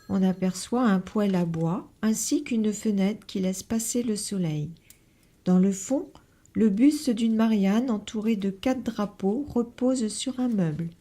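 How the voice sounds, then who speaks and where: Mellow mid-pitched female describer. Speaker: photo describer. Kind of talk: scripted descriptive narration.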